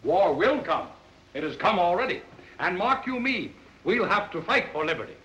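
An actor playing an eloquent colonial Virginia patriot in a theatrical 1930s film style, declaiming with precise diction. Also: male voice, high-pitched, very animated, moderate pace, pushed and bright, exaggerated voice. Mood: grave, resolute. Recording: noisy 1930s film soundtrack.

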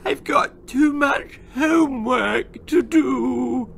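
A man's voice: very high voice, very slow, creaky, a little hiss or hum, voice pushed up, heavily acted. A man voicing a spoiled, manipulative little girl who sobs and whines to get her way. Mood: blubbering. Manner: sobbing, whining, wailing.

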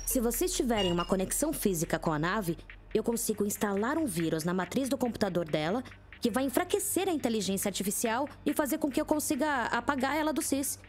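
An actress playing a brilliant, excitable female hacker and narrator in an audio drama. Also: fairly high pitch, fairly quick, soft background music, natural voice. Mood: urgent, excited.

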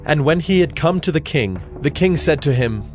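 A man narrating scripture from a script in a measured, formal reading style. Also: a little high, expressive, a little mellow, soft background music.

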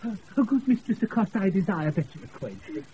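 An actor playing a foolish, eager-to-please, flustered knight in a comic classical radio play. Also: male voice, high-pitched, big pitch swings, fairly quick, mellow, dull audio. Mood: eager confusion. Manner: flustered, hesitant. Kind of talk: comic Shakespearean radio acting. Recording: hissy off-air radio recording.

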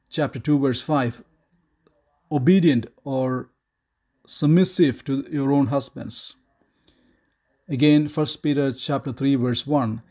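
Middle-aged male Bible teacher, steady and measured, pauses with "uh", reads scripture plainly; close camera in a quiet room. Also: mid-range voice. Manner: measured, reads scripture aloud, frequent "uh" fillers.

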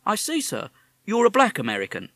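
A man's voice: sits high, huge pitch swings, fairly quick, sharp tone, no room sound, studio quality, voice pushed up, exaggerated voice. A man voicing a British police officer who is formal, pompous and sarcastic. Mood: prim.

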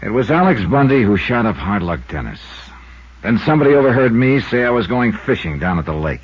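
A man's voice: on the low side, sing-song, a little fast, slightly rough. A man playing a shrewd, unflappable investigator with an American accent in a 1950s radio detective drama. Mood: firm. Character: shrewd, unflappable male insurance investigator, probing and wry. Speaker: lead actor and narrator. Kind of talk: 1950s radio detective drama acting and first-person narration. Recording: dull, band-limited 1950s radio recording.

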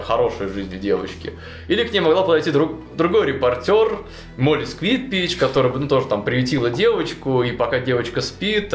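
A young man casually retelling a tabletop game campaign to a co-host, animated and full of fillers and restarts, in a small indoor studio. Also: medium pitch. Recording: roomy echo, faint music behind.

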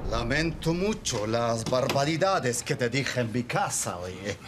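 An actor playing a gruff, bitter older doctor in a TV drama, speaking with a Chilean accent in a slow, low, menacing way. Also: male voice, expressive, heavy background noise, natural voice. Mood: brooding. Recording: loud music score underneath.

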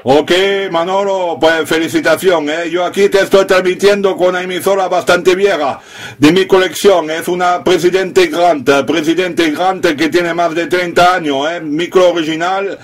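A man's voice: high-pitched, steady tone, normal speed. A man working as an amateur radio operator, talking in call signs and phonetic spelling during a contact.

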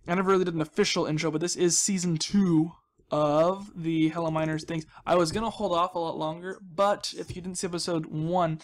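Higher-voiced man chatting over gameplay. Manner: chatty, jokey, self-deprecating. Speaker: gaming video host. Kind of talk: casual rambling gameplay commentary.

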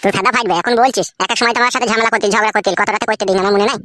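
A man playing an exasperated, crude village husband in a comic cartoon, bickering dismissively in a pitched-up voice. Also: steady tone, racing, sharp tone, no room sound, studio quality. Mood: irritated. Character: exasperated, crude village husband caught between two wives.

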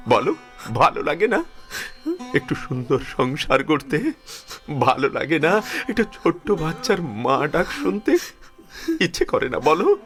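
Man acting slow, impassioned elderly father-in-law. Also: a little high, a bit bright, heavily acted. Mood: pleading. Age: older. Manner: slow, pleading, repeating phrases with emotional pauses. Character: tender, grieving elderly father-in-law, resolute and protective. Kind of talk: emotional audio drama acting.

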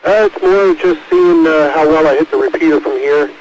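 A man's voice: a little high, moderate pace, a little mellow. A man calling in casually to an amateur radio net over a two-way radio transmission. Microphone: mobile two-way radio mic. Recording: hissy, noisy ham radio transmission.

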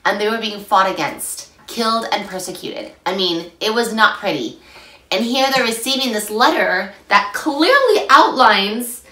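Hoarse young woman teaching scripture. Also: fairly high pitch. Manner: chatty and animated, gesturing a lot. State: hoarse after illness. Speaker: scripture study host. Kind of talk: casual scripture lesson to camera. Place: small home room. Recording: echoey room, mic at a distance.

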